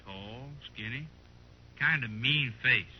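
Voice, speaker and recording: An actor playing a chatty, curious frontier gun dealer with a rural Western American accent and a husky voice, in a 1950s radio western. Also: male voice, medium pitch, slow, a little hiss or hum, lightly acted. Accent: rural American West. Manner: folksy, talkative. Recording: muffled old-time radio recording.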